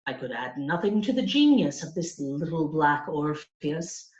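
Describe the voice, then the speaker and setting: A woman's voice: sits low, very animated, measured pace, a little mellow. Woman reading as a courtly, rapturous old music teacher. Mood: delighted, admiring. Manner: grandiloquent, dramatic, emphatic. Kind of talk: children's storybook character reading. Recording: roomy, slightly dull sound.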